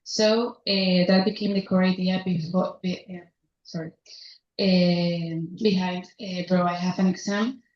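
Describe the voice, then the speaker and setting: A young woman from Spain, a student presenting at a conference who pauses and corrects herself. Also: on the low side, fairly even intonation, a little mellow. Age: young adult. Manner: halting, stops to correct herself. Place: conference hall. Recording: echoey hall, distant muffled mic.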